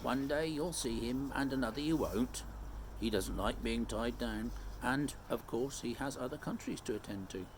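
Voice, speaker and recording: A man's voice: medium pitch, moderate pace, a little hiss or hum, close to an everyday voice. A man voicing a homely, knowing beaver in a storybook, chatty and matter-of-fact as he reassures. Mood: reassuring, confiding.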